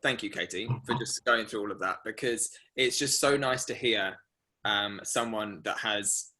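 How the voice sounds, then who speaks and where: A young man hosting a class, telling a rambling story full of fillers and lively quoted asides. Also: on the low side, moderate pace, poor audio. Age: young adult. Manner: rambling, filler-heavy, animated retelling. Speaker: masterclass host. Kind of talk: casual storytelling to an online class.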